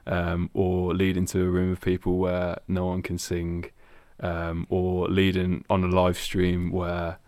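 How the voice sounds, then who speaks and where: Young male worship leader, very low voice, dry close sound, thoughtful casual talk. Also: completely flat delivery, darkish tone, clear, resonant tone, no room sound. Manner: thoughtful, measured, with frequent ums. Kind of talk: casual chat.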